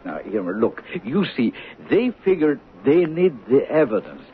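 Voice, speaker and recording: Actor as a cajoling New husband, swooping pitch. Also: male voice, sing-song, normal speed, lightly acted. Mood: earnest, cajoling. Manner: cajoling, stammering, colloquial. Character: soothing, streetwise working-class husband, folksy and patient. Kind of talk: old-time radio drama acting. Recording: phone-like, noisy old radio recording.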